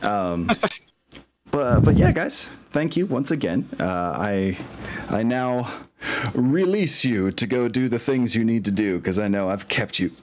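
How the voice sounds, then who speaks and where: A man's voice: on the low side, animated, a little slow, a little mellow, slight background noise. A man, middle-aged, chatting casually and a bit ramblingly as he wraps up an online tabletop game as game master.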